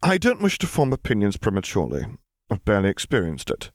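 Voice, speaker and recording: A man's voice: lowish voice, wildly swooping pitch, quick pace, no room sound, studio quality. A man voicing a reserved middle-aged naval officer with formal, polite phrasing, in an audiobook story. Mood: reserved, resigned.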